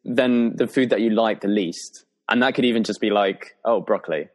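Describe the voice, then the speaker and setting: A man's voice: fairly low pitch, a little fast. A young man from England hosting a podcast, asking easygoing questions in a casual interview. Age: young adult. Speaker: podcast host.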